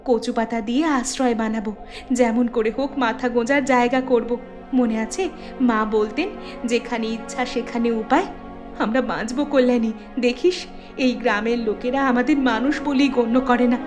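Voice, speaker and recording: A woman's voice: on the high side, fairly even intonation, moderate pace, darkish tone, soft background music, natural voice. A woman voicing a brave, devoted elder sister in a cartoon, earnest and determined. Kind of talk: cartoon voice acting.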